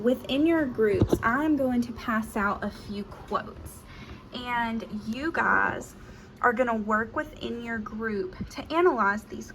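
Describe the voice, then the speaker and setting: A woman's voice: on the high side, slight background noise, rough recording. A woman teacher giving a practice lesson, upbeat and encouraging, with frequent ums as she explains a group activity. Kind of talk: classroom instruction, practice lesson.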